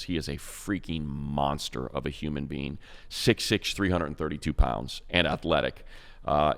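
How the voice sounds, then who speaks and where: Very deep-voiced, smooth-toned man. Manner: animated, rambling, stacks up names with restarts. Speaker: co-host, team radio play-by-play announcer. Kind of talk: casual football draft analysis chat.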